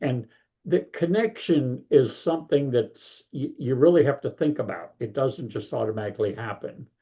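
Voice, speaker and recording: An older man speaks in a big, warm, mellow voice and tells things in a folksy, rambling way. The audio sounds dull and a little lo-fi. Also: medium pitch, animated, normal speed. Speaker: author and guest. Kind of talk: casual interview talk.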